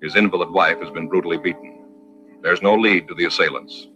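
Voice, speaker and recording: A man working as a radio announcer and pitchman, emphatic and deliberate in a 1950s announcing style. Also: steady tone. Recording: dull old radio recording with music.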